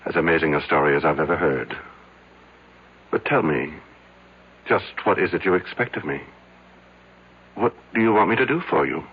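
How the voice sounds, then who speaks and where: An actor playing a measured psychiatrist who questions a patient deliberately, in old-time radio drama style. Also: male voice, a bass voice, vocal fry, breathy edge, exaggerated voice. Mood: measured, probing. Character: measured, probing male psychiatrist hearing a patient out. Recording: dull vintage radio broadcast recording.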